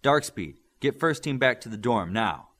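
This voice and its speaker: A man voicing a commanding adult male superhero team leader who talks firmly, briskly and with authority. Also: medium pitch, animated, fairly bright tone.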